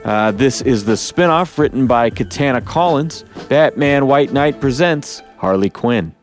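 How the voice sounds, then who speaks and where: A man hosting a podcast in a casual, upbeat and jokey way, his voice a little hoarse. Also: mid-range voice, expressive, a little slow, faint music behind. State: slightly hoarse. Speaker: podcast host.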